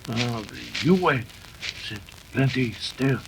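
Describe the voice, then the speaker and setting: A man's voice: mid-range voice, animated, takes it slowly, over loud music, low-quality recording. A man playing a terse, watchful sidekick who speaks in clipped, broken phrases in an old-time radio western. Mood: hushed warning. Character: terse, watchful Native sidekick of a masked cowboy.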